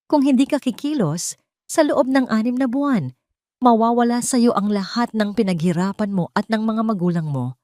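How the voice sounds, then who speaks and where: A woman voicing a calm, protective male lawyer in an audio drama, measured, formal and earnest. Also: on the high side, dry recording, studio quality, voice pushed up, close to an everyday voice. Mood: grave, urgent. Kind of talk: Tagalog audio drama dialogue.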